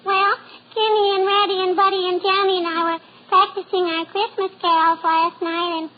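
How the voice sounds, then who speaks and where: A woman's voice: very high voice, flat delivery, normal speed. An actress playing a chirpy, persistent little girl in a 1940s radio comedy. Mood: matter-of-fact. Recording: muffled, noisy 1940s radio recording.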